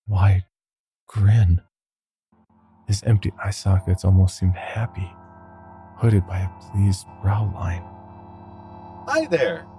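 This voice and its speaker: A man reads a scripted horror tale in a very deep, dark voice. His delivery is grave and deliberate, with a gravelly creak. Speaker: horror story narrator. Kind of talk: scripted first-person horror narration.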